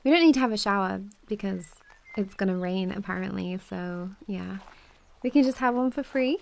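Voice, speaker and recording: A young woman playing a warm, playful best friend in an intimate ASMR roleplay, chatting casually with a giggly, teasing, hesitant manner. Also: mid-range voice, moderate pace, creaky, natural voice. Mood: cheerful, reassuring.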